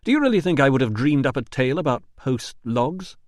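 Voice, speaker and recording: A man voicing a calm, dry-witted male investigator in an audiobook, level and sardonic. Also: medium pitch, brisk, no room sound, pitched above the performer's own voice, close to an everyday voice. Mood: cool. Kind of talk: audiobook dialogue.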